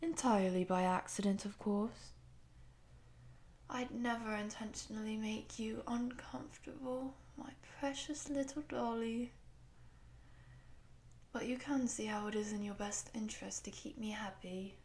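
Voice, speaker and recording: An obsessive, sadistic giant woman, played by a female voice actor in an intimate ASMR audio drama. Her voice sits fairly high and moves very slowly. She coos and teases possessively, often sinking to a whisper as if talking to a tiny captive. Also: steady tone, a little mellow. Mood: soft menace. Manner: slow, teasing, cooing and possessive, often dropping to whispers.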